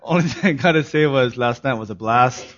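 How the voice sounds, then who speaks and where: A hoarse man preaching to his congregation, his voice at an ordinary middle pitch. He starts easygoing and laughing, then settles into an earnest, solemn sermon. The recording sounds a little dull and muffled. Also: a little fast. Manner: laughing, relaxed opening turning earnest and solemn. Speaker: pastor.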